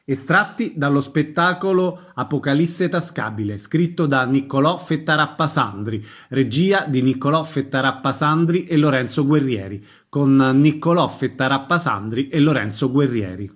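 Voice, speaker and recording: A man's voice: mid-range voice, lively intonation, moderate pace, slightly rough. A man reading a scripted radio announcement as the programme's announcer.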